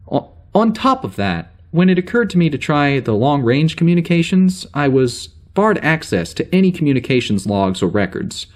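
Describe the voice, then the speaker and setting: A man playing a flustered security officer on a spaceship, recording an informal audio log in a halting, rambling way for an audio drama. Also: on the high side, sing-song. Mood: exasperated. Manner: halting, rambling, full of hesitations and sighs.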